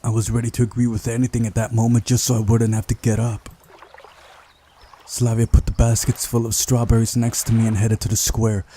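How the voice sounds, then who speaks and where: A man hosting a game playthrough, reading visual novel narration aloud in a mellow voice. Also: lowish voice, a little hiss or hum. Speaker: gaming video host.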